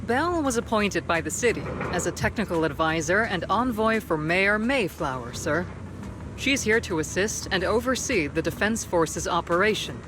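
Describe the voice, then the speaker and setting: A woman voicing a calm, authoritative female colonel in a video game, speaking in a measured, formal way. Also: lowish voice, background noise, natural voice. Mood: formal, businesslike. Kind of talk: scripted video game voice acting.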